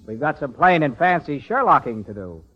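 An actor playing a brash, cocky wisecracking schemer in 1940s radio comedy style, on a dull old recording. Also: male voice, a little high, sing-song, moderate pace, breathy edge, faint music behind. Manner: wisecracking, cocky, pompous when posing. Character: brash, fast-talking male schemer posing as Sherlock Holmes.

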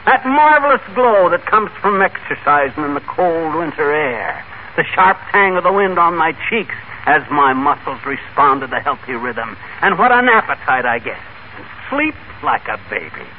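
An actor playing a boastful, bumbling middle-aged husband in 1940s radio comedy, brash and quick to show off. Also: male voice, on the high side, big pitch swings. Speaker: radio sitcom lead. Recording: noisy, tinny 1940s radio broadcast.

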